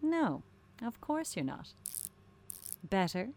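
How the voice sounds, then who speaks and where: A woman playing a brisk, reassuring studio producer in an audio drama. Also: medium pitch, sing-song, a little mellow, heavily acted. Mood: placating. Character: brisk, placating female radio producer. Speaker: radio producer character. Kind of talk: naturalistic audio drama acting.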